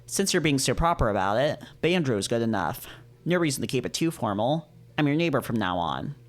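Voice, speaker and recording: A man voicing a tired, older maned wolf neighbor in a visual novel, polite, informal and unhurried. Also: mid-range voice, very animated, close to an everyday voice. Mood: tired, cordial.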